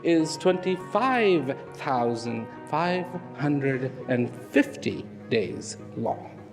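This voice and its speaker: A man giving a reflective public talk, slow and emphatic, swinging from loud declarations to hushed, almost whispered lines. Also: mid-range voice, very animated. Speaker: speaker. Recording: long hall reverb with faint music.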